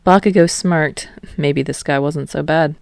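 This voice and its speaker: A woman narrates her own fan fiction in a podfic, telling it in plain, even storytelling. Her voice sits low for a woman, and the recording is dry and close with no room sound. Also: measured pace, vocal fry, no room sound. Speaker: podfic reader and author. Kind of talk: reading fan fiction aloud.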